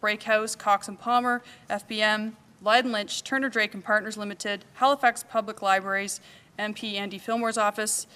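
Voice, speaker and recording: A woman's voice: medium pitch, flat delivery, bright, forceful tone, clear, resonant tone, long reverb tail. A woman hosting a conference, reading sponsor thanks and a formal welcome at a podium microphone. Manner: brisk, formal thank-you list, then welcoming speech. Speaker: event host. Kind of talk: conference opening remarks. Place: large conference hall. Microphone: podium microphone, at times off.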